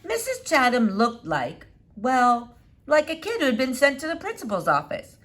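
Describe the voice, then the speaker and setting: A woman narrating a children's novel in an expressive read-aloud style. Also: high voice, moderate pace. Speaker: storybook reader.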